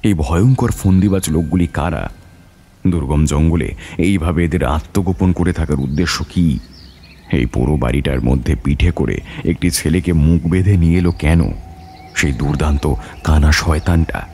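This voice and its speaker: A man's voice: a bass voice, normal speed, warm tone, slight background noise. A man narrating an adventure story as a scripted, dramatic audio tale.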